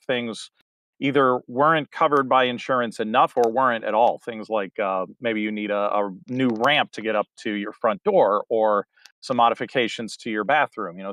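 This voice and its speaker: A middle-aged man giving a rambling, halting interview answer, full of fillers and restarts. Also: lowish voice, a bit bright, dry recording. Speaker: interview guest, theater director. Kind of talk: casual interview talk.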